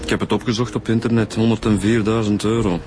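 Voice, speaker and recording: An actor playing a down-to-earth junior police detective in a radio drama, blunt and colloquial, with a Flemish accent. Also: male voice, on the low side, level tone, fairly quick, background noise, natural voice. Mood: impressed. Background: music.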